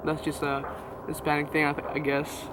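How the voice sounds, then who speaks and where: A man's voice: on the high side, monotone, fairly quick, noisy background. A nineteen-year-old man from Venezuela with a Venezuelan Spanish accent, a native Spanish speaker telling his faith story casually, with many fillers and restarts. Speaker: guest sharing testimony. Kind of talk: personal testimony, casual.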